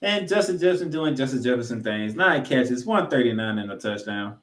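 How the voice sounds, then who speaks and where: Guy hosting a sports recap show, mid-pitched, punchy and emphatic, roomy echo on his voice. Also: animated, fairly quick. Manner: emphatic delivery, repeating points for effect. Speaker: sports podcast host. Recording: roomy, echoing room sound.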